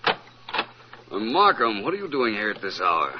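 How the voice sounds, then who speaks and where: Smooth-mannered radio detective, dry wit, pitch swoops around, muffled vintage sound with faint noise. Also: male voice, wildly swooping pitch, unhurried. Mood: unruffled, amused. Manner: suave, drily witty, polite. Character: urbane, witty, unflappable male gentleman detective. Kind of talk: old-time radio drama acting. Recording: dull, faintly noisy vintage radio recording.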